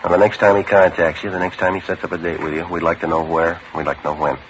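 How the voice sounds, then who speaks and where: An actor playing a terse police sergeant who narrates in a flat, clipped, deadpan manner, in 1950s radio drama style. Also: male voice, sits low, quick pace, a little hiss or hum, lightly acted. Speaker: detective narrator. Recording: muffled old radio broadcast.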